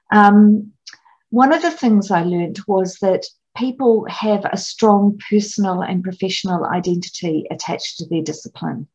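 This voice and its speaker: A middle-aged woman from New gives an online conference lecture over slides, measured and explanatory, with frequent ums and restarts. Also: fairly low pitch, gravelly, darkish tone, slightly rough, muffled recording. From New Zealand. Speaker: clinical academic presenting at a conference.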